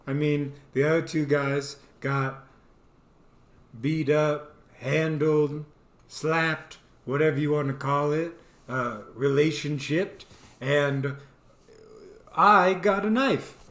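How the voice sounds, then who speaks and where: A man's voice: on the high side, slow pace. A man telling a casual, comedic personal story as a host, animatedly acting out bits as he goes. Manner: animated, acts out quoted lines and sound effects. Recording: echoey, roomy sound.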